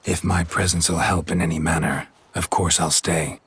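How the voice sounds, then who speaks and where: Game monster hunter: extremely low, flat, heavy rasp, terse and stoic. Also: male voice, moderate pace, full character voice. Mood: reserved. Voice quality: gravelly, husky. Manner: terse, deadpan, clipped sentences. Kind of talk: video game voice acting.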